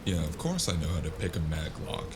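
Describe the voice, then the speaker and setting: A man playing a world-weary, wry noir freelancer in a gravelly voice, narrating a cyberpunk fantasy audio drama in the first person. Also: rumbling low, very animated, background noise. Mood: casual, exasperated. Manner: wry, brooding, unhurried. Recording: constant loud music underneath. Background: loud music.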